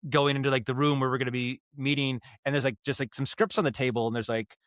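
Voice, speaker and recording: A man's voice: mid-range voice, fast talker, no echo, clean studio sound. A man from Maine with an American accent, talking casually as a podcast guest, rambling through stories and acting out asides. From Maine, United States. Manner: rambling, animated acted-out asides. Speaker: podcast guest, audio drama director and writer. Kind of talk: casual interview storytelling.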